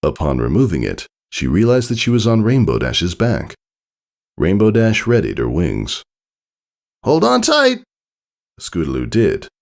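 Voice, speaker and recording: A man's voice: low-pitched, gravelly, a little mellow. A man reading scripted storybook narration as the narrator of a piece of fiction.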